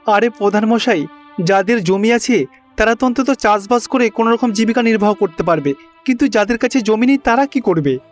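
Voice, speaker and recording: A man acts a poor, hardworking villager in an animated folk tale. His voice is high for a man, earnest and full of complaint, over faint music. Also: fairly quick, natural voice. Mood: worried, protesting. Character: aggrieved, hardworking poor male villager pleading to his chief. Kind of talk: cartoon story dialogue acting. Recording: music behind the voice.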